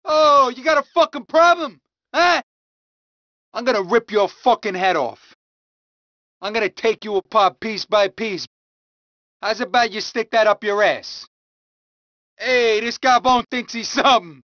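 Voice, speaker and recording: A woman's voice: fairly high pitch, measured pace, pauses often, fairly bright tone, no room sound, muffled recording. A woman voicing a hot-tempered young male mob thug in a video game, snarling street-tough threats and taunts. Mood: menacing. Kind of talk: video game combat barks.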